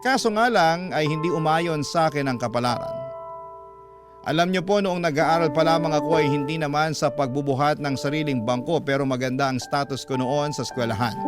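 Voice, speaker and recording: A man working as a radio storyteller, reading a listener's letter in scripted, dramatic narration. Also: fairly high pitch. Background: loud background music.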